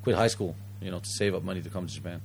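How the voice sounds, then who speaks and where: A Danish-raised man, a guest, telling his story in quick mixed Japanese and English. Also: deep voice, vocal fry, slight background noise. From Denmark. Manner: rapid, switches between Japanese and English mid-story. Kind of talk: bilingual casual interview.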